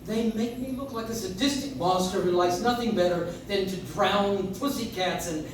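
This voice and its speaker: A man's voice: high voice, moderate pace, natural voice. A man playing an exasperated, henpecked prosecutor and family man in an old-time radio play, sounding flustered and grumbling. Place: live stage in a coffee house. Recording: roomy, distant, noisy audience recording.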